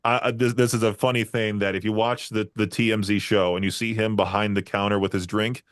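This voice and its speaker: A man's voice: lowish voice, level tone, brisk, no echo, clean studio sound. A man hosting a podcast, telling long stories in a casual, rambling way with lots of fillers. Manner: rambling storyteller, frequent fillers and laughs. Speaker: podcast host. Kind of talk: casual interview chat.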